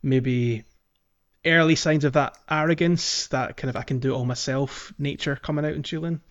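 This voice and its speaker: A man's voice: mid-range voice, steady tone, normal speed, highs cut off. A man co-hosting a casual video game podcast, talking in a hesitant way as he searches for his words. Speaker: podcast co-host.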